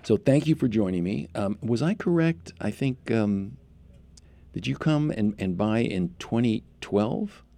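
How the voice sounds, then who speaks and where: Deep dark-voiced guy hosting, rambly and chatty, dry close headset sound. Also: expressive, a little slow, creaky, no echo. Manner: rambling, chatty, asks follow-up questions. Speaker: podcast host. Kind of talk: casual interview chat.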